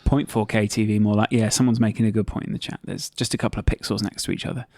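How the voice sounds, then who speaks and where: A man's voice: fairly low pitch, fast talker, dry recording, clean studio sound. A man hosting a casual live football watchalong, teasing his co-host in a sarcastic way. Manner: teasing, sarcastic, deadpan ribbing. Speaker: host reading the live chat.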